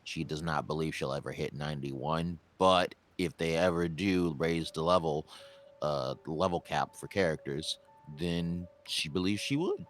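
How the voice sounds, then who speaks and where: A man with an extremely deep bass voice chats casually on a livestream. He rambles, restarts his sentences, and jokes about himself. Faint music plays behind him. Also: normal speed. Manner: chatty, self-deprecating, rambling with restarts. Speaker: stream co-host relaying a guest.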